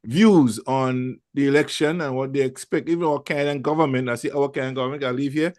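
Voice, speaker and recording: A man's voice: mid-range voice, fairly even intonation, fast, darkish tone, dry recording, studio quality. Male talk radio host, chatty and rambling. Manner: rambling, many fillers and restarts. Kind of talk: casual talk show hosting. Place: lives in Toronto.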